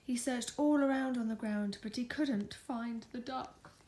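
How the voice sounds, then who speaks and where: A woman reading a picture book softly in an ASMR storytime style, often slipping into a whisper and cooing to a pet. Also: fairly high pitch, measured pace. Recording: faint background noise.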